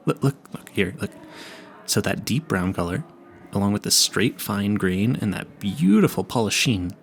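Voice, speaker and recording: A man acts out a clueless young vampire hunter in an audio roleplay. His pitch swings widely as he stammers and rambles, nervous and eager, with a creaky edge to his voice. He talks straight to the listener and keeps losing his thread in earnest tangents.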